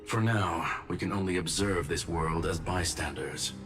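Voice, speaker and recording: A man voicing a courteous, logical machine intellect in a video game, speaking in a measured, formal, analytical way. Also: deep voice, music in the background. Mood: calm, analytical.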